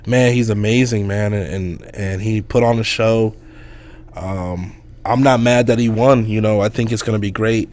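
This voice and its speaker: A man hosting a casual sports podcast, talking through a hoarse voice in a loose, rambling way with lots of fillers. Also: on the low side, fairly even intonation, normal speed, darkish tone. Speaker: podcast host.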